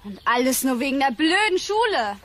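A woman's voice: high voice, sing-song, normal speed, a bit bright. A woman playing a headstrong, emotional young girl who argues back in a children's audio drama. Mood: defiant, upset.

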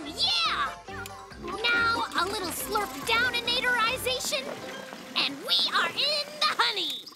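Excitable high-pitched cartoon bear cub. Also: heavily acted. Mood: eager. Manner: exclamatory, playful invented words. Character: excitable inventive young bear cub, cocky and playful. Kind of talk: children's cartoon voice acting.

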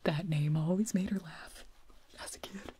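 A woman voicing a cheerful, proud elf father with a folksy greeting, in a hushed ASMR roleplay close to the mic. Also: lowish voice, fairly even intonation, moderate pace, noisy background, natural voice. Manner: hearty whisper, proud and folksy.

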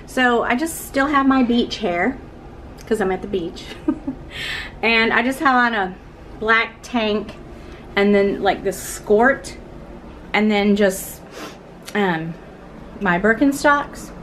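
A middle-aged woman vlogging casually to camera in a vacation condo, chatty and relaxed, laughing softly between sentences. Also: medium pitch, slow pace, long pauses. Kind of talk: casual vlog talk to camera. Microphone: phone held at arm's length. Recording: noisy phone audio.

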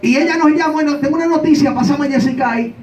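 A middle-aged man preaching and telling a testimony on a small church stage, joking and shouting with energy. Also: very high-pitched, racing. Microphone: handheld mic, far camera. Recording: echoey church heard from far off, loud congregation.

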